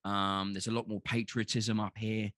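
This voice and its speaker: Passionate low-voiced Cockney man. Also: moderate pace, dry recording. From London, England. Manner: passionate, animated, frequent restarts and swearing. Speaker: podcast guest. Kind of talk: casual podcast conversation.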